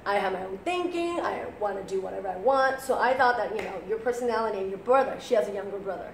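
A woman in her thirties hosting a live show as a voice teacher, chatting in a casual, animated way and switching between Mandarin and English while translating for viewers. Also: mid-range voice, quick pace, gravelly. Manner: chatty, animated, often translates herself and laughs. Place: living room, on a sofa. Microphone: fixed webcam at a distance. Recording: echoey room with faint background noise.

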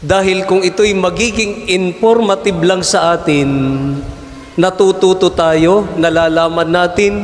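A Filipino priest in his forties preaching a homily at a lectern mic, measured and asking rhetorical questions. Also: high-pitched, fairly even intonation. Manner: measured, asks rhetorical questions, mixes in English terms. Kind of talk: sermon. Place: large church, indoors. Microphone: stand mic at lectern. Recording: echoey church with long reverb.

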